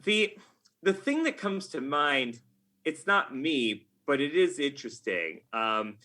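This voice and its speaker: An American man chatting as an interview guest in a casual, halting way, thinking aloud. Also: fairly high pitch, big pitch swings, sharp tone. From United States. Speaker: podcast guest, game writer.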